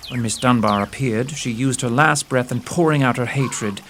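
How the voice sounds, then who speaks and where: A man's voice: medium pitch, normal speed, a bit bright, slight background noise, natural voice. Man acting a precise, self-assured detective. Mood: explaining, grave. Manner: precise, formal, old-fashioned address. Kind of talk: audio theatre acting.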